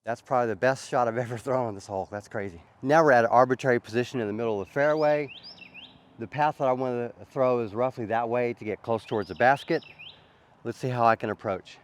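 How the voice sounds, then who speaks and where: A man's voice: medium pitch, quick pace, slight background noise. Guy w a sore throat, chatty laid back vlog talk outside, lots of uhs. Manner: easygoing, chatty, frequent uhs. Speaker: disc golf channel host. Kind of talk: casual vlog narration on a course. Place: outdoor disc golf course.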